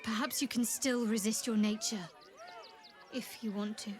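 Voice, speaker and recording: A woman playing a guarded, wry young cleric companion in a fantasy video game, measured and intimate. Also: a little high, fairly even intonation, a little mellow, slight background noise, close to an everyday voice. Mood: serious, wry. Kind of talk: video game dialogue acting.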